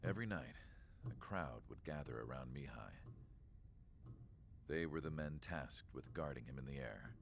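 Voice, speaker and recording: Game cinematic narrator: wistful military researcher, extremely low gravelly voice, measured delivery, faint background noise. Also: male voice, animated, exaggerated voice. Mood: measured, reflective. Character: reflective male military researcher recounting the past.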